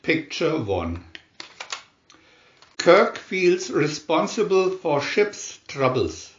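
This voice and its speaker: Male narrator, slow and dramatic, lively swooping pitch, for picture-story booklet readings. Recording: dull, echoey room recording.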